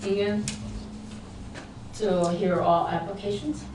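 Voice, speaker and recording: A woman chairs a town board meeting, reading out rules and calling votes in a formal, measured way. She sounds picked up from well away from the microphone. The room is echoey and a clear hiss sits under her voice. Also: medium pitch, steady tone. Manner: formal, measured, with light asides. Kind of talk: reading meeting procedure aloud. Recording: echoey, distant, noisy public-access broadcast.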